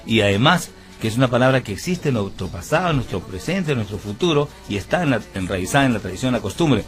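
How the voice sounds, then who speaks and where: A man's voice: medium pitch, lively intonation, fast talker, faint music behind. A man from Peru with a Peruvian Spanish accent, a musician and band founder, giving an earnest radio interview. He tells his story at length with many fillers and restarts.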